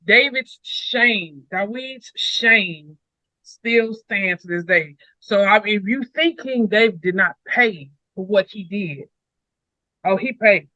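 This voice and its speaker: A man's voice: high-pitched, takes it slowly, sharp tone, dry recording, highs cut off. A man talking casually through scripture as a co-host, emphatic and repeating phrases for weight. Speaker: co-host of a Bible read-along discussion.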